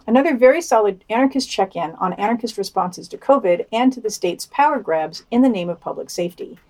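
Woman podcast host reading out news commentary n quotes, middle pitch, bit wry. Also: darkish tone. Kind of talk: scripted news commentary read aloud.

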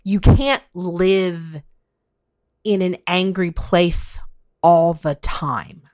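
Woman late 40s, lowish voice, chatting on a podcast, leans on her words to make a point. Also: fairly even intonation, extremely slow, darkish tone. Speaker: podcast discussion co-host. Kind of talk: casual conversation on politics.

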